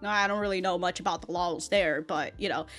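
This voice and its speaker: A woman's voice: on the low side, steady tone, a little fast, a bit bright, soft background music. An American woman hosting a reaction video with casual, unscripted commentary in an informal, sweary, emphatic way. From United States.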